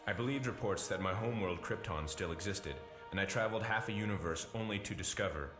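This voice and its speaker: A man's voice: fairly low pitch, fast talker, lightly acted. An actor playing a stoic, solemn male alien superhero in a video game, earnest and restrained. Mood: grave, mournful. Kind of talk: video game hero acting. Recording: echoey, under loud music.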